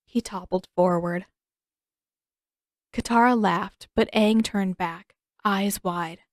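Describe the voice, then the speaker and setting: Female audiobook narrator, medium pitch, scripted storytelling, dry close recording. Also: takes it slowly, no room sound.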